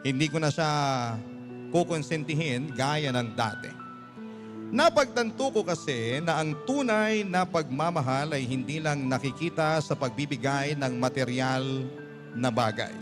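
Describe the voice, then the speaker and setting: A man's voice: on the high side, measured pace. A Filipino man telling a scripted first-person story in an earnest, emphatic, confiding radio-drama narration style. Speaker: storytelling host. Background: music bed.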